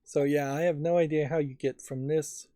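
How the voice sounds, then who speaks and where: A man's voice: on the high side, steady tone, moderate pace, mellow, no echo, clean studio sound. A man giving casual, musing game commentary as a let's play host, often hesitant and trailing off. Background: game music.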